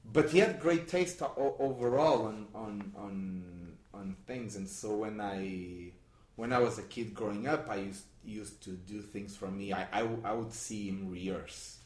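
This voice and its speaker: Deep-voiced man from Portugal, halting speech. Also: darkish tone. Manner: halting, many restarts and fillers. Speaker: guest magician. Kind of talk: conversational interview. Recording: roomy echo.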